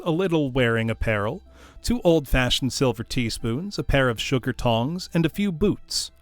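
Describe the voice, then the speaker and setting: A man narrating a classic tale as a storyteller, in an expressive audiobook style. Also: mid-range voice, no room sound. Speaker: storyteller, streamer. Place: dry room, live stream.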